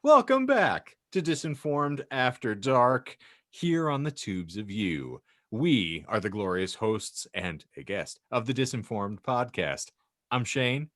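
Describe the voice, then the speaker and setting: A man's voice: mid-range voice, big pitch swings, takes it slowly, fairly bright tone, no echo, studio quality. A man hosting a casual comedy podcast with mock-grandiose, wordy phrasing. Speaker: podcast host.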